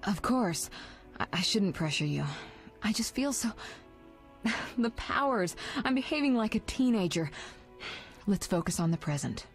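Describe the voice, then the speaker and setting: An actress playing an affectionate, vulnerable sorceress in a video game, earnest and emotional. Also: female voice, medium pitch, animated, a little slow, long pauses, soft background music. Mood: tender, yearning.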